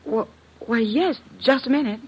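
A woman's voice: fairly high pitch, moderate pace, natural voice. An actress in a 1950s radio drama playing a coy, romantic young woman, on a slightly noisy old recording. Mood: polite, surprised.

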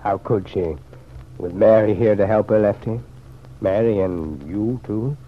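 An actor playing a kindly, thoughtful gentleman with a gravelly, husky voice in an old-time radio serial. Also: on the low side, a little slow. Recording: tinny, narrow vintage radio sound.

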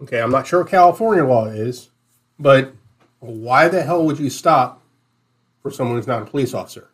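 A man's voice: medium pitch, expressive, normal speed. A man on a discussion panel, explaining points casually but argumentatively, with precise legal wording.

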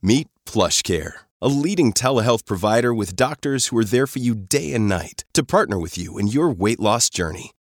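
A man's voice: medium pitch, animated, normal speed, few pauses, no room sound, clean studio sound. A man reading an advertisement in a persuasive, friendly sales tone. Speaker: ad announcer. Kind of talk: read advertisement.

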